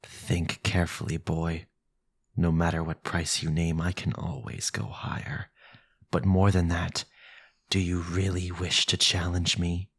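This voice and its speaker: A man voicing a possessive, domineering vampire lord in an ASMR audio drama, with a husky, whispery voice and a slow, menacing delivery. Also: deep voice, flat delivery, fairly bright tone, full character voice. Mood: threatening.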